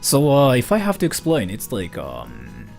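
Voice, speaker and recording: A male streamer from Japan chats his way through viewer questions, loose and rambling, with plenty of filler. His pitch sits in the middle but swings widely as he talks. Soft guitar music plays quietly underneath. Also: wildly swooping pitch, moderate pace, vocal fry. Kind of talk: casual livestream chat, reading viewer questions.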